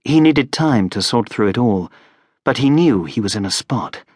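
Husky male audiobook narrator. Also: medium pitch, lively intonation, moderate pace, a little mellow, dry recording, studio quality. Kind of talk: scripted fantasy narration.

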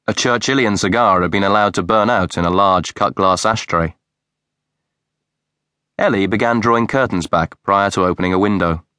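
Low-voiced male audiobook narrator. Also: no echo, studio quality. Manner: measured, even storytelling. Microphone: close studio mic.

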